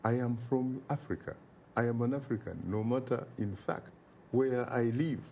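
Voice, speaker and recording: A man's voice: mid-range voice, normal speed, gravelly, darkish tone, slight background noise. A man from Uganda, speaking as an interview guest in an emphatic, oratorical way and repeating phrases for effect. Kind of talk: impassioned interview talk.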